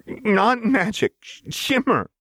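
A man's voice: sits high, very animated, slow, fairly bright tone, slightly rough, no echo, voice pushed up, heavily acted. A man voicing a frantic, unstable young woman in agony, stammering and strained in an audiobook drama. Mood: agonized, insistent.

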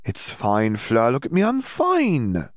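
A man's voice: medium pitch, wildly swooping pitch, slow, no room sound, clean studio sound, pitched above the performer's own voice. A man voicing a small, stubborn girl who rambles in a dreamy, giddy, insistent way in a storybook reading. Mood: woozy insistence.

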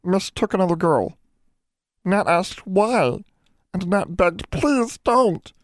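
A tiny house elf wails in a very high voice that swings about. He is servile and racked with guilt, pleading pitifully like a storybook creature in disgrace. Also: lively intonation, slow, no echo, studio quality. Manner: wailing, pleading, speaks of himself in third person. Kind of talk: audiobook character voice.

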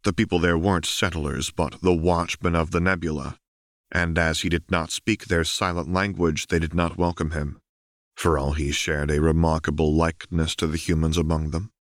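A man narrating a fan fiction audiobook in a steady, even storytelling manner. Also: a bass voice, normal speed, no room sound, studio quality.

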